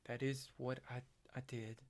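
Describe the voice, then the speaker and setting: A man playing a haunted, self-doubting farm boy in a stage play, halting and plain-spoken. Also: mid-range voice, little pitch movement, creaky. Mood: guarded.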